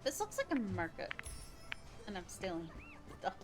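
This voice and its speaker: A woman's voice: mid-range voice, wildly swooping pitch, normal speed. A woman livestreaming a video game, giving casual play-along commentary in an offhand way, often muttering to herself. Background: game music.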